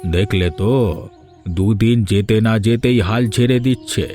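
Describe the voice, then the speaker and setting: A man's voice: lowish voice, measured pace, warm tone, close to an everyday voice. A man voicing a weary, reproachful old father-in-law in a Bengali cartoon. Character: grumbling old father-in-law scolding his wife. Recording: music behind the voice.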